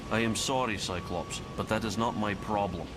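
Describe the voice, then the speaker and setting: A man's voice: on the low side, normal speed, over loud music, lightly acted. A man voicing a reluctant, stubborn young superhero in a motion comic, blunt and dismissive in manner. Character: reluctant nineteen-year-old Russian male superhero, stubborn and weary. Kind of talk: dramatic motion comic voice acting.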